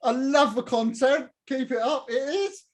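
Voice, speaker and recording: A man's voice: very high-pitched, a little slow. A man chatting casually and enthusiastically as a podcast guest. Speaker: podcast guest, gaming channel creator. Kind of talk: casual chat.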